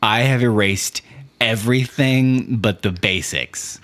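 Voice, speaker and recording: A man's voice: medium pitch, takes it slowly, a bit bright. A man co-hosting a podcast, riffing casually and comically in loose, slangy speech. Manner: informal slangy speech, says "dude" and "like" often. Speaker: podcast co-host. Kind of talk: casual comedic podcast chat.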